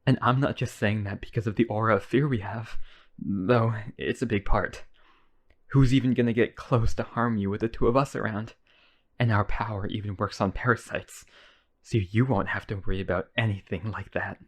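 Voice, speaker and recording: A man's voice: lowish voice, fairly even intonation, moderate pace. A man playing a lonely, obsessive vampire brother in a boyfriend roleplay audio drama, earnest and coaxing. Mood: persuasive, possessive.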